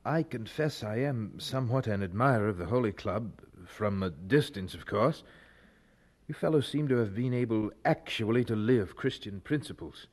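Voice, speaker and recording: An actor playing an earnest, troubled young university student in a radio drama, speaking formally and with some hesitation. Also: male voice, lowish voice, moderate pace, close to an everyday voice. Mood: reserved, polite.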